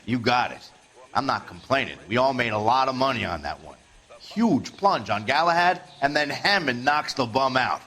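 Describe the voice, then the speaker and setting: Bright-voiced, chatty bookie. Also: male voice, mid-range voice, animated, normal speed. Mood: amused. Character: easygoing male bookmaker, chatty and rueful. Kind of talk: video game dialogue, 1940s crime drama.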